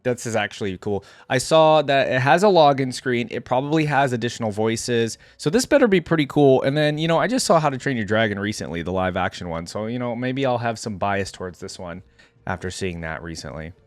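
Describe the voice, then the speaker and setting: A man's voice: medium pitch, expressive, brisk, no room sound. A young man giving casual, laid-back reaction commentary, thinking aloud as host.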